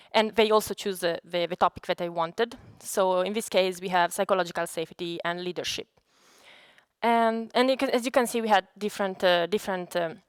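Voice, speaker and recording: A woman from Italy with an Italian accent, a native Italian speaker, giving a conference presentation as a data scientist in a halting, explanatory way. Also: mid-range voice, clear, resonant tone, dry recording, studio quality.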